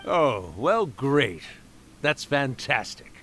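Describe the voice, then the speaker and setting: A man playing a gentle, melancholy spirit from the past in a video game, hesitant and soft-spoken. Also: mid-range voice, very animated, extremely slow, slight background noise, heavily acted. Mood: wistful, hesitant. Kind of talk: video game dialogue acting.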